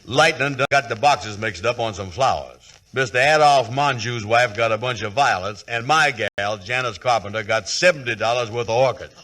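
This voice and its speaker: An actor playing a bumbling, easily cowed flower shop man in 1940s radio comedy, hesitant, slow-witted and stammering, with folksy ungrammatical speech. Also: mid-range voice, pushed and bright, close to an everyday voice. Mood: worried, pleading. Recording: vintage 1940s radio broadcast.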